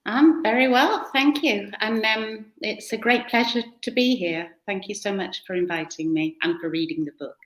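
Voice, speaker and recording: A woman's voice: mid-range voice, normal speed, few pauses, fairly bright tone, roomy sound, poor audio. A woman with a British accent from the west of England, telling stories chattily as a guest author. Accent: British English. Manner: chatty storyteller with fillers. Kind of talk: interview answers.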